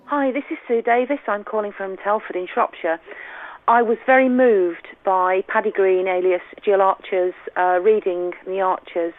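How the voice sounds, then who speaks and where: A woman's voice: mid-range voice, steady tone, moderate pace, no echo. Woman caller on tinny phone. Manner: appreciative. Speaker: listener calling in. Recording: narrow tinny phone line.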